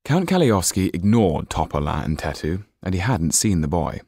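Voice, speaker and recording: A man narrating a novel as an audiobook in expressive, measured storytelling. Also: low-pitched, slightly rough, dry recording, clean studio sound.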